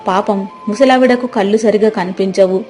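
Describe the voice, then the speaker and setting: A woman's voice: medium pitch, moderate pace, mellow, faint music behind. A woman storyteller reading scripted narration for a moral folk tale.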